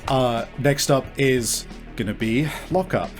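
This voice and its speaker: A man's voice: mid-range voice, takes it slowly. A man running a tabletop game as game master, narrating in casual, profane language. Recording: music under the voice. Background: background music.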